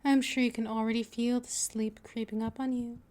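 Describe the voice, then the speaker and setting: A woman's voice: on the high side, flat delivery, brisk, a little mellow, lightly acted. A woman playing a patient, possessive vampire mistress in a scripted ASMR roleplay, speaking in a coaxing, soothing, dominant manner that often drifts toward a whisper. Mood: soothing, hypnotic.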